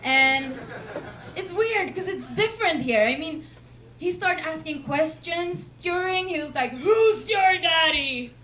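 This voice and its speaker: A young woman from Sweden with a Swedish accent, doing a deadpan, self-mocking stand-up set in a comedy club. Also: very high-pitched, slow pace. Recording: noisy club recording.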